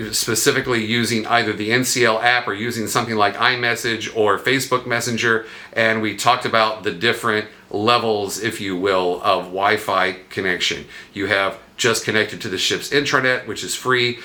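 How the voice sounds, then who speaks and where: A man's voice: lowish voice, bright, forceful tone. A man hosting a vlog, explaining things casually and conversationally, sometimes asking himself questions aloud. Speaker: vlog host.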